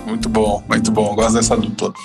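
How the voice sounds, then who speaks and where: A man hosts a podcast with a friendly, upbeat delivery as he welcomes listeners and asks questions. Music plays clearly underneath his voice. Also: lowish voice, completely flat delivery, a little fast. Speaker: podcast host.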